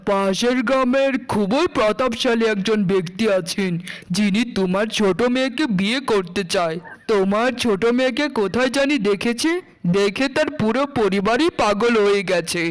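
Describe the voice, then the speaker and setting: Guy doing a chatty village matchmaker, high smooth voice, pushy, kids cartoon. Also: normal speed, close to an everyday voice, poor audio. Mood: persuasive. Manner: persuasive, familiar. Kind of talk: children's cartoon voice acting.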